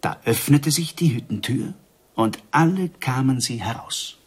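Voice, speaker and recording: An actor in a German radio drama playing an excitable villager who passes on wondrous rumours with suspense. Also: male voice, medium pitch, a little slow, slightly rough. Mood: awed, excited.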